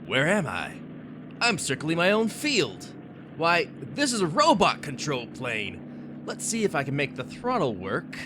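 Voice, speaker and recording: A man's voice: fairly high pitch, huge pitch swings, unhurried, heavy background noise. A man playing a brave, dutiful American army captain with an earnest, heroic manner in a radio serial style. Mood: puzzled.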